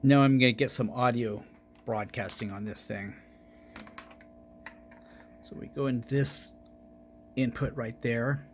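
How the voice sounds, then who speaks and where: A man's voice: mid-range voice, slow, long pauses, slight background noise. A man narrating a casual hands-on electronics demonstration as its host.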